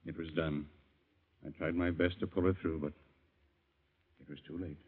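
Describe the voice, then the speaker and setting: A man's voice: very deep voice, steady tone, normal speed, breathy edge. An actor playing a stern, proud older father with an American accent, formal and imperious in old-time radio style. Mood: weary, resigned. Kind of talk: 1940s radio acting. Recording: muffled old radio recording.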